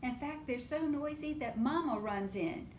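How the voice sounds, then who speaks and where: A woman reading a picture book aloud to young children in an expressive, animated way, often putting questions to her listeners. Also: normal speed. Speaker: preschool storytime reader. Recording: roomy echo with faint low rumble.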